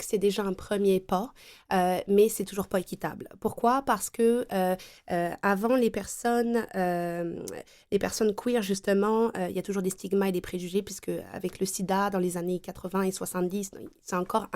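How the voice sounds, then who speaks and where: A woman's voice: medium pitch, a little mellow. A woman from France with a French accent, explaining her view as a panel guest with frequent hesitations. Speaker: consultant and trainer, panel guest. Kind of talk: round table discussion.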